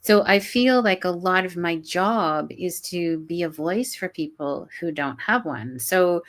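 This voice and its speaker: A woman's voice: fairly low pitch, a little slow, a bit bright. Earnest woman guest with frequent restarts. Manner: earnest, repeats words and restarts often. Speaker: guest, college professor. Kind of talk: conversational interview.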